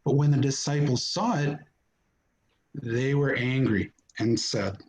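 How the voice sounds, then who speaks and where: A man's voice: medium pitch, slow, low-quality recording. A man narrating scripture from a script, recorded at home over a video call.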